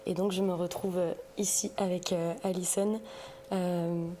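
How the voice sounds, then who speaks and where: A woman's voice: on the low side, flat delivery, measured pace, warm tone, slight background noise. Woman, hesitant interview talk. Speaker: podcast guest, audiovisual sustainability consultant. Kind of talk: casual interview storytelling.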